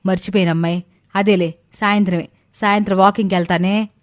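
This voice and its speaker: A woman playing a gluttonous, sly older mother-in-law in an animated story, with rustic, drawn-out exclamations. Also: medium pitch, close to an everyday voice. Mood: wheedling. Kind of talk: animated moral story dialogue.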